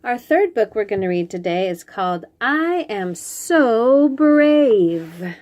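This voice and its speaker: A woman with a fairly high voice hosts a read-aloud for small children. She takes her time, stretching out the lines of the picture book with very lively intonation, then adds chatty comments of her own. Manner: expressive, drawn-out reading with chatty asides.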